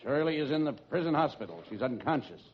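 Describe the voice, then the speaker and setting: A man's voice: fairly high pitch, sing-song, fast talker. An actor playing an earnest, rather dim police lieutenant as the straight man in an old-time radio comedy. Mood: urgent. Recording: muffled noisy radio recording, audience behind.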